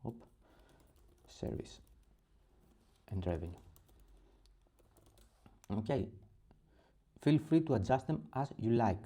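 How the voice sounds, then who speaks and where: Greek-accented man with a dark voice. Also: mid-range voice, expressive. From Greece. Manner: halting, with many pauses and fillers. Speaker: template creator. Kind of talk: screen-recorded software tutorial.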